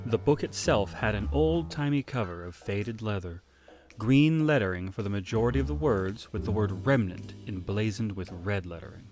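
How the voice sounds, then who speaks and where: A man reads the narration of a fan audio drama in a steady, middle voice. Soft music runs under it. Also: on the low side, moderate pace. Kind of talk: story narration.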